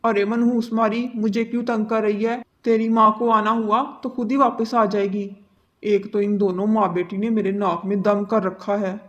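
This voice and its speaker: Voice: mid-range voice, little pitch movement, normal speed, fairly bright tone, highs cut off, lightly acted. An actor voicing a surly, cruel, hard-drinking male crow father in a Hindi cartoon, grumbling and harsh. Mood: irritated.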